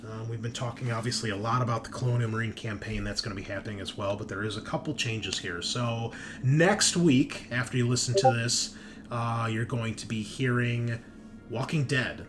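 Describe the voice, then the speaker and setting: A man's voice: medium pitch, normal speed, music in the background. A man speaking casually and informally as game master and host, making announcements to listeners. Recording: noticeable background noise.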